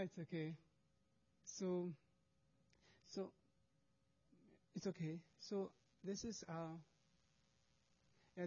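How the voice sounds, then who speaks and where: A man's voice: fairly high pitch, dark tone, lo-fi sound. A man presenting charity work to an audience, speaking hesitant English with many restarts and fillers.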